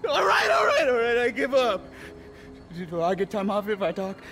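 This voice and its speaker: A man playing a rough, agitated gangster henchman in a video game. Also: extremely high pitch, sing-song, normal speed, exaggerated voice. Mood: panicked. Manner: agitated street-thug talk. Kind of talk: video game cutscene acting.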